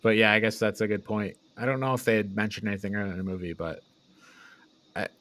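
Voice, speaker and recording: A man's voice: lowish voice, fairly even intonation, quick pace, a bit bright. A man co-hosting a podcast, discussing a film in a casual, tentative, conversational way. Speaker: podcast co-host discussing films.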